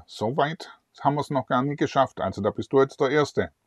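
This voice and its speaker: Middle-aged host, rambling and friendly, dry lapel sound. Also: male voice, medium pitch, fairly quick, no room sound. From southwest Germany. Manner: chatty, upbeat, rambling. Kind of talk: online celebrity interview. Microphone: lapel mic.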